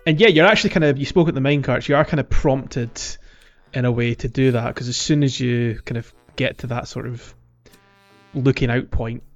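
A male podcast co-host talks in a casual, halting way about a video game he is playing through. His voice sits at an average pitch. The audio is slightly dull and lo-fi, with music underneath. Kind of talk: casual chat recapping a video game playthrough.

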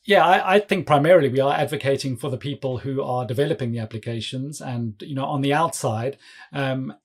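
A man from South Africa with a South African accent, a guest on a podcast explaining his work in a chatty, self-deprecating way with many fillers. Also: a little high. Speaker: guest, developer advocate. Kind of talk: casual podcast interview.

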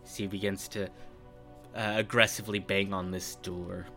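A man narrating a tabletop game as game master, improvising descriptions in measured, halting phrases. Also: sits low, level tone, pushed and bright. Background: faint background music.

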